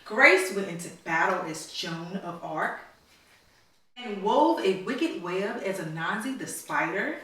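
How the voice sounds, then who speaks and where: Bright-voiced woman reading aloud in echoey room. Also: mid-range voice, expressive, takes it slowly. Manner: teacherly, prompting children with questions. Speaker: mother reading a storybook to her daughters. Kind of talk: read-aloud storytime with questions to children. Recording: very echoey room, slightly distant mic.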